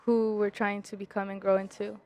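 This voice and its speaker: A woman's voice: mid-range voice, little pitch movement, fairly quick, a little mellow. A woman giving considered, reflective statements.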